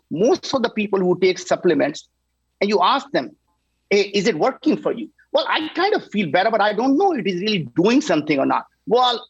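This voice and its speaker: Energetic man in his sixties pitching. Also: slightly rough, no room sound, lo-fi sound. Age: 60s. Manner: energetic, emphatic, repeats "right?". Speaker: company founder guest. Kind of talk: enthusiastic pitch on a talk show.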